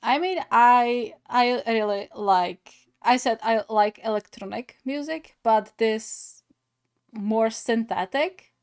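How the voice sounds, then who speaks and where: Gaming host, woman, highish voice, unhurried and halting, casual off-the-cuff reactions. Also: smooth.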